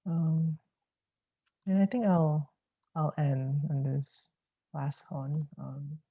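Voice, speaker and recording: A man reading his poetry aloud in a measured, deliberate way. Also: fairly high pitch, little pitch movement, gravelly, dark tone, husky, no room sound. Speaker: poet.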